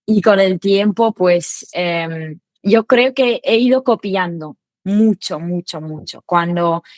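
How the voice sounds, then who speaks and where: A woman's voice: on the low side, slow, darkish tone, no echo. A young adult woman, a Spanish learner whose first language is something else, talking as an interview guest. She rambles casually with many fillers. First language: not Spanish. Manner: chatty, hesitant, many fillers.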